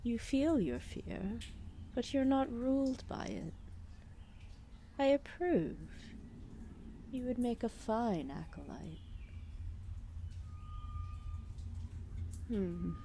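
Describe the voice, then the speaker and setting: A giant serpent deity, played by a woman, speaks with drowsy, regal amusement in an ASMR roleplay. The voice is dark and mellow with a creaky edge. The delivery is formal, condescending and drawn out, over faint background noise. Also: mid-range voice, expressive. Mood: approving, calm. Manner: slow, languid, formal, condescending speech. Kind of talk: ASMR roleplay audio drama.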